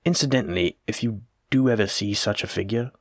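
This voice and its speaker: A plague doctor, acted out by a man speaks in a lowish voice, close and dry. He is formal, polite and a little self-important, choosing old-fashioned words in a fussy, evasive way, as in an audio roleplay addressed straight to the listener. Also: a little fast, dry recording. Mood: clinical, courteous.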